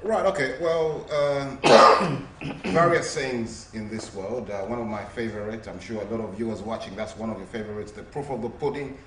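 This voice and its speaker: A man's voice: mid-range voice, moderate pace. A man hosting a TV interview, asking rambling questions full of fillers. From Zambia. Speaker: TV show host. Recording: roomy, distant mic, faint noise.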